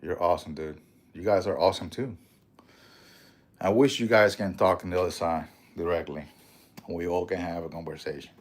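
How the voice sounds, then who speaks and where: A man's voice: sits low, moderate pace, pauses often. A man with a strong Puerto Rican accent hosting a casual livestream, rambling, swearing freely and answering viewer comments. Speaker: livestream host.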